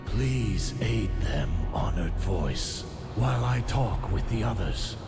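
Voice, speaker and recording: A grave, courteous otherworldly leader in a fantasy game, speaks formally. The low voice is slow and rings with long reverb over loud music. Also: male voice, animated, creaky, heavily acted. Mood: solemn. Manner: measured, formal.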